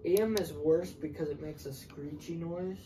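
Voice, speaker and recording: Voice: mid-range voice, moderate pace, noisy background. A chatty co-host giving a casual, unscripted product review, speaking directly to viewers and a partner.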